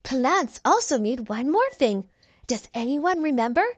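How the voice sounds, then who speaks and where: A woman's voice: high-pitched, very animated, a little slow, no room sound, full character voice. A woman voicing a kind, encouraging preschool teacher in a storybook, explaining patiently to small children.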